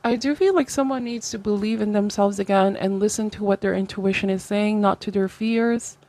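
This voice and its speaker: A woman's voice: medium pitch, fairly even intonation, moderate pace, a little mellow. A woman hosting a conversational tarot reading, speaking to the viewer in a reflective, advisory way and often checking in with short tags. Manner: reflective, advisory, seeks agreement with tags like okay and right. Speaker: tarot reader, host.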